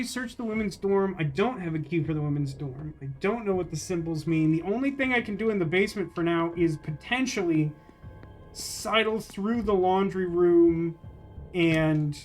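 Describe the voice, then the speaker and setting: A man streaming a game with casual, wry commentary, rambling as he thinks aloud. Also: high-pitched.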